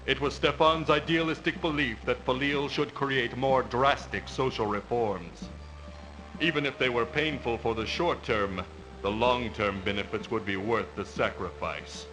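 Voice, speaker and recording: A man narrating a video game story in a measured, formal, scripted storytelling style. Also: medium pitch, rough recording. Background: music.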